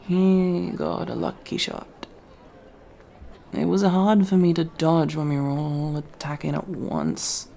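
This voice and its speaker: A woman playing a proud but nervous young elf rogue in an ASMR roleplay, with wry, halting delivery. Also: lowish voice, gravelly, dark tone, slight background noise, heavily acted. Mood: sheepish, pained.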